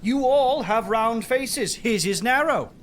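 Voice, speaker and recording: An actor in an audio drama playing a boastful, sneering senior knight with a mocking, theatrical delivery. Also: male voice, very high voice, expressive, slow, slight background noise, exaggerated voice.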